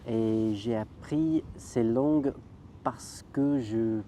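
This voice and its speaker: A man's voice: mid-range voice, very slow, dark tone, slight background noise. A man who grew up in the United Kingdom, interviewed casually, speaking hesitantly. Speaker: guest.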